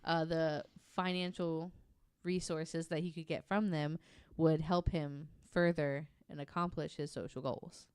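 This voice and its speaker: Smooth-voiced woman telling a story casually. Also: low-pitched, flat delivery, measured pace, hardly any pauses, darkish tone, no echo, studio quality. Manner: candid, self-deprecating, swears casually. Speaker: podcast host telling the story. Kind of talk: casual nonfiction storytelling chat.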